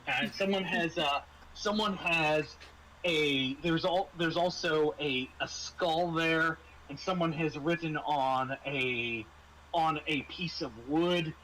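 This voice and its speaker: A man narrating a tabletop role-playing game as game master, halting and searching for words. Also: on the high side, fairly bright tone, a little hiss or hum, rough recording.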